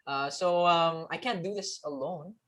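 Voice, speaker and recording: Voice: medium pitch, a little slow, fairly bright tone. An interview host who talks a lot, stumbling and repeating words as he mixes English and Tagalog. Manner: talkative, stammers and repeats words.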